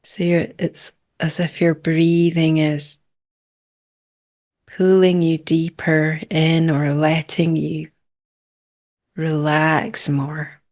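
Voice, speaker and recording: Female meditation guide: very slow, even delivery, calm, short phrases, generous pauses. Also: sits low. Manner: calm, unhurried, hushed phrases with long pauses.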